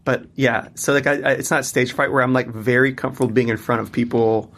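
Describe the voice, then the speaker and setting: Man, 30s-40s, musician guest, casual and rambly, flat intonation. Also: medium pitch, fairly quick. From Mississippi, US. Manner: loose and chatty, many fillers and restarts. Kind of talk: casual interview conversation.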